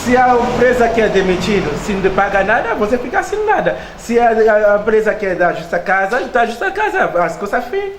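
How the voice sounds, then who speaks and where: High-voiced man explaining earnestly. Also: very animated, brisk, few pauses, roomy sound, a little hiss or hum. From Haiti. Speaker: interviewee. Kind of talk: interview answers.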